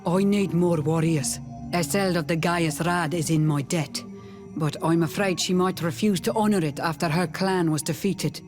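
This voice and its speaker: A woman playing a proud, warlike clan leader in a video game, with solemn, deliberate, formal delivery. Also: on the low side, music in the background, natural voice. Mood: resolute. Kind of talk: video game dialogue acting.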